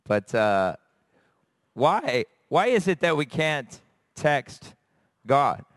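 A man from America, a church elder, preaching a sermon with a raspy, hoarse voice and earnest, searching pauses. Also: on the high side, big pitch swings, fairly bright tone, no echo, studio quality. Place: church service. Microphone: handheld microphone.

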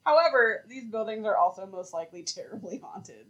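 A woman co-hosting a podcast in a loose, chatty way, with a voice of middle pitch for a woman. She strings her telling together with plenty of "like" fillers and breaks into laughter often. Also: lively intonation, a little fast, highs cut off. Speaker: podcast co-host. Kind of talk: casual chat.

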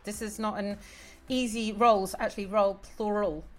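A woman's voice: mid-range voice, steady tone, moderate pace. A woman hosting a podcast who introduces her guest in an upbeat, chatty way. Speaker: podcast host.

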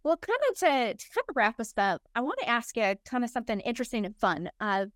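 A woman host talks over a video call in a smooth, resonant voice, warm and full of thanks, often pausing with fillers. The sound is dry and close, with no room echo. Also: on the high side, big pitch swings. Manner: warm, appreciative, with filler words and restarts. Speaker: podcast host. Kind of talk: casual interview chat.

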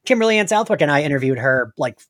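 A man chats as a podcast co-host with a very lively, swooping delivery. His talk is casual and wordy, full of "like", and he often slips into animated quoting. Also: fairly high pitch, fast talker. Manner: chatty, frequent "like", animated quoting. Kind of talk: casual pop-culture podcast chat.

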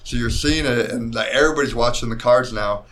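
A mid-pitched man, a professional fighter, sits for a casual interview. He talks in a loose, rambling way, circling back and restarting, easygoing and unhurried. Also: fairly bright tone. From Mexican heritage. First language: Spanish speaker. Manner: laid-back, rambling with many likes and restarts. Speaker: mixed martial arts fighter, guest.

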